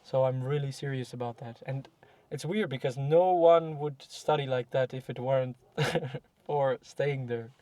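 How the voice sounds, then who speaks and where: A man's voice: mid-range voice, unhurried, hardly any pauses, warm tone, no room sound. A young man talking casually and reflectively in a podcast chat, with many fillers and self-corrections. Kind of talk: casual conversation.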